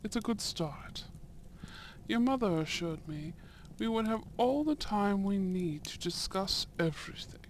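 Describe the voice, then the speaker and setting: A man playing a young, courteous and shrewd Edwardian viscount in a romantic audio roleplay, with formal, deliberate phrasing and soft murmurs. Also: high-pitched, lively intonation, slight background noise. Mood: courteous, assured, then measured, amused.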